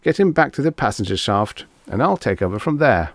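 A man's voice: on the low side, sing-song, brisk, no echo, studio quality. A man reading an audiobook, voicing a take-charge man who speaks assertively and reasons things out aloud. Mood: commanding. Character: commanding, take-charge man giving brisk orders. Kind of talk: audiobook character dialogue.

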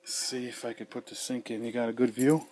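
A man's voice: mid-range voice, flat delivery, a little fast, mellow, low-quality recording. Swearing man narrating a sink repair. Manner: swears freely, rambling, chuckling to himself. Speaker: handyman fixing a sink. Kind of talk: casual how-to video with running commentary. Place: small basement room with a sink and cabinets. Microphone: fixed camera a few feet away.

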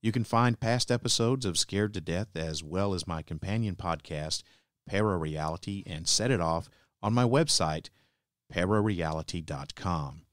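A man's voice: sits low, normal speed, dry recording. A man speaking as a podcast host, addressing his listeners on a close mic. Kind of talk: podcast sign-off narration.

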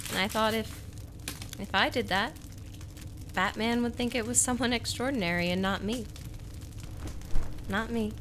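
Woman as lonely wife in noir audio drama, slow wistful confession, bit of background noise. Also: fairly high pitch, close to an everyday voice. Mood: wistful, confiding. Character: lonely, devoted wife quietly confessing her secret. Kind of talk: radio noir monologue.

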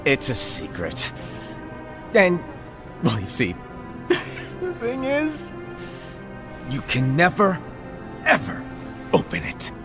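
An actor playing a playful, mischievous master and mentor in a game cutscene, teasing and theatrical. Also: male voice, on the high side, huge pitch swings, music in the background, full character voice. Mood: coy, teasing. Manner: theatrical, teasing, drawn-out wordy delivery. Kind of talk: animated game cutscene dialogue.

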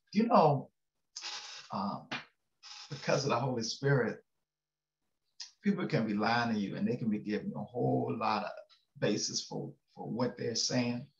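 A man's voice: mid-range voice, vocal fry. An older male pastor giving a casual, folksy devotional sermon from his home study, chuckling and checking in with listeners. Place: home study with bookshelves, indoors. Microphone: webcam on a video call. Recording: echoey room, slightly dull webcam sound.

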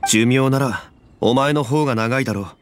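An actor playing a calm, dignified immortal former god in a fantasy game, speaking in a composed and formal way. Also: male voice, mid-range voice, moderate pace, slight background noise, natural voice. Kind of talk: Japanese game voice acting, dramatic dialogue.

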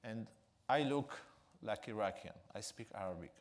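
Israeli man telling a story, halting speech. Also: lowish voice, lively intonation. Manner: halting, frequent fillers, non-native grammar. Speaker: guest speaker. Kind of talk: storytelling onstage interview. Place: theater stage with live audience.

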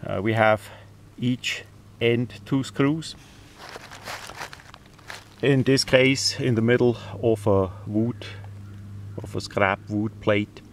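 A non-native English-speaking man giving a casual hands-on demonstration outdoors, halting often mid-phrase. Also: medium pitch, fairly even intonation. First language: not English. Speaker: presenter of a DIY radio antenna video. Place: outdoors in windy weather. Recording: outdoor camera audio with faint noise.

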